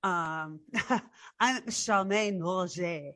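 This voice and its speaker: A woman's voice: fairly low pitch, very slow, fairly bright tone, no echo, studio quality. A woman chatting casually and with amusement as one of a group of performers. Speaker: theatre company performer.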